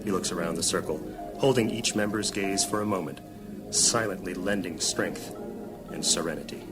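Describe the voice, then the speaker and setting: A man with a deep voice narrates a fantasy audio drama. His delivery is solemn and dramatic, like scripted storytelling. Prominent music and rain run behind him. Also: moderate pace.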